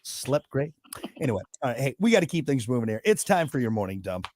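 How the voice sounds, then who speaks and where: Young male co-host, boisterous and loud, pitch leaps around, dry close mic. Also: big pitch swings, quick pace, no echo. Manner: loud, boisterous, projects his voice. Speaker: morning show co-host. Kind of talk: casual morning radio banter.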